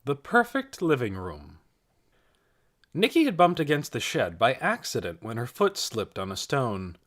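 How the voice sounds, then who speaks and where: A man reads scripted fiction as an audiobook narrator. His delivery is careful and expressive, with lively rises and falls in pitch throughout. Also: a little slow. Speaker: audiobook reader.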